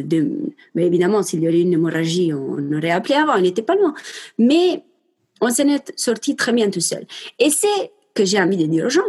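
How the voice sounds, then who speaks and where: Young woman, Italian accent, husky voice with a lively pitch, speaks emphatically and straight to her listeners. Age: 20s. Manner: passionate and emphatic, addresses listeners directly. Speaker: mother sharing her home birth story. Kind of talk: casual spoken monologue.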